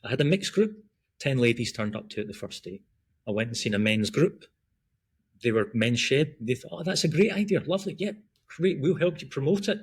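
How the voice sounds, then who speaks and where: A man's voice: medium pitch, lively intonation, a little fast, husky. A middle-aged man from Glasgow with a Scottish accent, chatting as a podcast guest in a rambling, easygoing way. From Scotland. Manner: rambling, chatty, frequent restarts and ums. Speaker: guest, breath work and running coach. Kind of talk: casual podcast conversation.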